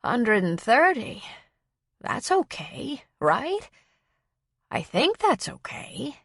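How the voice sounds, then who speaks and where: A woman voicing a gentle, forgetful, frail old woman who speaks in a slow, halting, plaintive way for an audiobook story. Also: on the high side, big pitch swings, slightly rough, dry recording, clean studio sound, pitched above the performer's own voice, exaggerated voice. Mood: uncertain.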